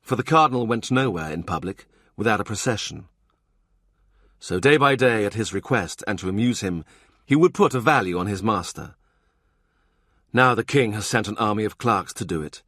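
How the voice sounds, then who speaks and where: A man narrates a historical novel in a low, steady voice. His delivery is measured and even, and the recording is dry and close. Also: dry recording. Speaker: audiobook narrator.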